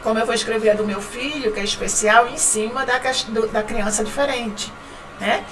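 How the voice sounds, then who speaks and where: Northeastern brazilian lady chatting on a podcast, bit high voice, sits back from the mic, music under it. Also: steady tone, normal speed, distant mic, rough recording. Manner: chatty, repeats words, laughs often. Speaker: guest, writing company founder. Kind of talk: casual podcast interview.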